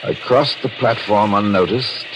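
A man's voice: on the low side, measured pace, noisy background, natural voice. An actor playing a vengeful, scheming husband who narrates his murder plot with brooding drama in 1940s radio mystery style. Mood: tense, hateful. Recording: old radio broadcast with music behind.

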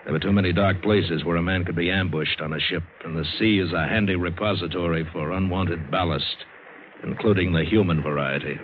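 A man's voice: low-pitched, fairly even intonation, a little fast, close to an everyday voice. An American actor playing a tough, wry seaman who tells his adventure in vintage radio drama style. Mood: wary, brooding. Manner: hard-boiled, wry, dramatic. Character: tough, wary, wisecracking seaman and adventurer telling his tale. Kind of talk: 1950s radio drama acting with first-person narration. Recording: scratchy, hissy narrowband old radio recording.

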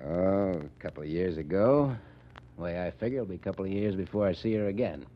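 Actor as a deep-voiced cocky gang boss. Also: male voice, fast, slight background noise, natural voice. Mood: cocky, amused. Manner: slangy, ungrammatical tough-guy talk with chuckles. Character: cocky, tough male gang boss, smitten and folksy. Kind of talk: 1940s radio acting. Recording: muffled old 1940s radio recording.

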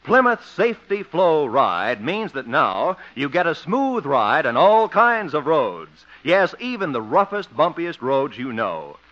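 A man's voice: fairly high pitch, fairly bright tone. A male radio announcer delivering scripted ads and narration in a punchy, polished, emphatic 1950s style. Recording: dull vintage 1950s radio recording.

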